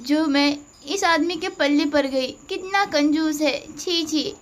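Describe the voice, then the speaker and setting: Woman as a fed-up village wife, high voice, scolding, reproachful, storybook. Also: unhurried. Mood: muttering.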